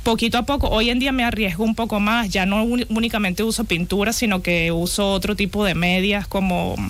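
A woman with a Venezuelan accent speaks as a guest on a radio show. She talks in a relaxed, reflective way, stopping often to search for her words. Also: mid-range voice. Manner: relaxed, reflective, with frequent "eh" pauses. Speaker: guest artist. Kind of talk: radio interview.

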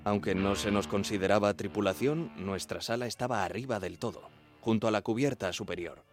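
An actor playing an earnest young radio operator of the 1910s, narrating in the first person in a measured, plain way. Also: male voice, fairly low pitch, lightly acted. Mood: measured, reminiscing. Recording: music behind the voice.

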